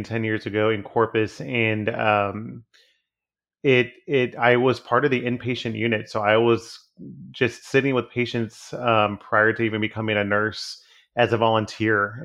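A man talks casually as a podcast guest. He speaks in an easygoing, halting way, with plenty of ums and restarts. His voice sits a little low. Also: gravelly, fairly bright tone, highs cut off. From Texas. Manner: easygoing, halting with many fillers. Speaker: guest, hospice nurse.

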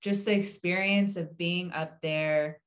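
Low-voiced woman moderator who stutters. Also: steady tone, a little slow. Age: around 30. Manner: person who stutters, with part-word repeats. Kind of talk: casual panel talk.

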